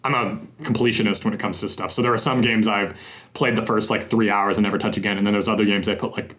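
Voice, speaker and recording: A man's voice: on the low side, steady tone, fast, fairly bright tone. A young man hosting a casual podcast interview, chatty and rambling with lots of fillers and restarts. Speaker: podcast host.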